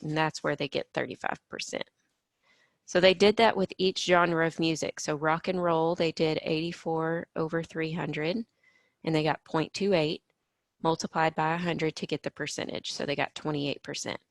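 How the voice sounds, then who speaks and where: Brief: hoarse, gravelly-voiced woman math teacher, lower pitch, patient step-by-step explaining, dry close sound. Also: no echo. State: slightly hoarse from allergies. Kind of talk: recorded math lesson.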